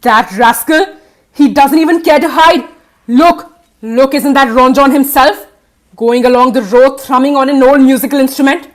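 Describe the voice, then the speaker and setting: A woman's voice: sits high, moderate pace, close to an everyday voice. A woman playing a stern, indignant governor in an audio play, commanding in manner.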